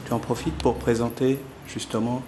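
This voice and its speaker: A man's voice: mid-range voice, level tone, moderate pace, roomy sound, noisy background. A man interviewing for a documentary, asking short, friendly prompting questions.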